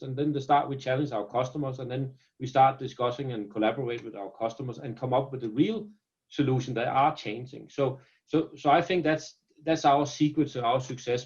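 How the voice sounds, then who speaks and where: A man's voice: medium pitch, a little mellow. Earnest Danish-accented man, dull audio. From Denmark. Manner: earnest, repeats words and restarts often. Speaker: company founder and CEO. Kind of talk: business interview over video call.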